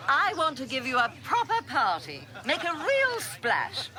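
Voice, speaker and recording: An actress playing an exuberant British society hostess in a radio drama, gushing and insistent. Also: female voice, high-pitched, huge pitch swings, a little slow, background noise, heavily acted. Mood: excited, insistent.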